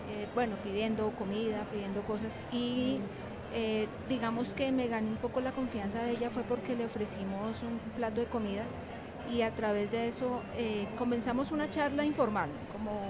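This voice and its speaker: A middle-aged woman speaks in a fairly high voice. She answers as an interview guest, informal and meandering, like a lecturer telling her experiences. Clear ambient noise of a busy venue sits behind her. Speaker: university animation lecturer and author, interview guest. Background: ambient noise of a book fair.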